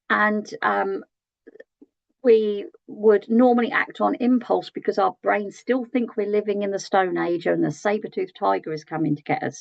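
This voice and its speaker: A British woman with a croaky, gravelly voice leads an early years training session. Her delivery is chatty, and she often checks in with tag questions and pauses on "um". The sound is dry and a little dull. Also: mid-range voice, dry recording. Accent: British English. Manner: conversational, asks tag questions, frequent ums. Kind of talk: training webinar talk.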